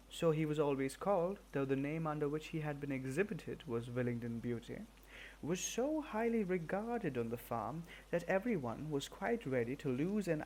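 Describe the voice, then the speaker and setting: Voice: mid-range voice, a little mellow, slight background noise, rough recording. A person reading a storybook narration aloud from a script. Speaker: audiobook reader.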